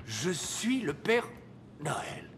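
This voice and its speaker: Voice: mid-range voice, very animated, drawn-out words, heavy background noise. An actor voicing an old, forgetful Father Christmas in a cartoon, talking in a giddy, childish, exclaiming way over music. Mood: puzzled. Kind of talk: French animated series voice acting.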